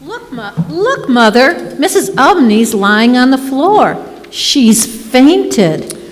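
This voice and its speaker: A woman playing a dreamy, excitable daughter in a live old-time radio play. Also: high voice, slow, full character voice. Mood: alarmed. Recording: noisy, echoey hall stage recording.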